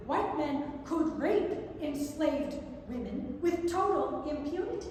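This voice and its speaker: Woman reading aloud, distant in an echoing hall. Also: sits high. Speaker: reader at a staged reading. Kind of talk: staged reading of non-fiction prose. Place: large indoor theatre. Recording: echoey theatre hall from far off, noisy.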